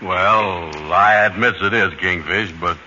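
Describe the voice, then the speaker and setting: An actor playing a slow, easygoing, naive sidekick in an old radio comedy. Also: male voice, sits low, fairly even intonation, heavily acted. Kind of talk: 1940s radio comedy acting. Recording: dull old radio broadcast with loud hiss.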